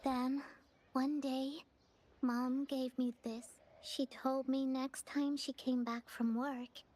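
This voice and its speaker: A woman's voice: high voice, darkish tone. A woman voicing a shy, wistful young child in a video game, speaking in a hesitant, vulnerable way. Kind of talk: English video game voice acting. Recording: game audio with background music. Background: game music.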